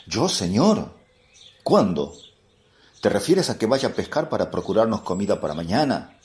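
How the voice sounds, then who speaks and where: Simple eager fisherman, fairly low pitch, animated intonation, religious radio drama. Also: moderate pace. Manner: eager, surprised, ready to serve.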